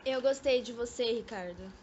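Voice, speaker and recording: A dull, noisy amateur recording of a young woman playing a wary Brazilian radio drama heroine. Her voice is high, and she sounds uneasy and hesitant. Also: moderate pace, natural voice. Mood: curious, tender. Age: young adult.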